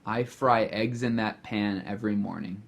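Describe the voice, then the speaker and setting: A man's voice: on the low side, unhurried, gravelly. An American man speaking Portuguese as a learner with an American accent, explaining word pairs in a friendly, casual vlog. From United States. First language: English. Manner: friendly, relaxed, explains with examples. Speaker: language vlogger.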